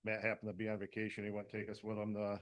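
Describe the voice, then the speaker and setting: Low, flat-voiced man rambling through a plan. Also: racing. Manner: rambling, many fillers and restarts. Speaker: fire department representative presenting an application. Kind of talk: casual presentation at a public meeting.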